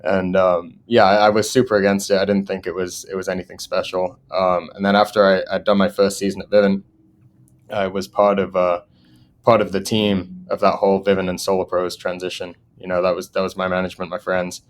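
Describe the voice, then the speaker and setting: Low, flat-voiced Englishman. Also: fairly quick. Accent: English, partly Americanized. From England. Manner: laughs often while telling stories. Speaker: guest, solar sales manager. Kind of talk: casual podcast interview.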